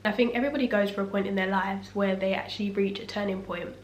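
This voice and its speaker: Husky girl chatting in her echoey room at home, soft background hum. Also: mid-range voice, quick pace. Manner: relaxed, reflective and faith-focused. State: husky from a cough. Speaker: vlogger. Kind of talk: casual sit-down talk. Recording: echoey room, faint ambience.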